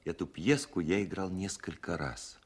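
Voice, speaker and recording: A man acting as a grown composer narrating his childhood memories in a radio play, measured and reflective. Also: low-pitched, slightly rough.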